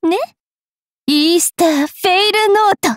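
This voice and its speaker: High, haughty bratty fairy witch girl. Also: measured pace, dry recording, clean studio sound. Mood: taunting, aggressive. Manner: haughty, taunting, rough-tongued. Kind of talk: Japanese anime game character voice lines.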